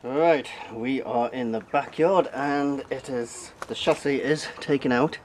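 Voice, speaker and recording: A man's voice: medium pitch, measured pace, a little mellow. A man giving a casual, jokey, muttering running commentary as he hosts a hands-on demonstration vlog. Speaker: video host. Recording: noisy amateur camera audio.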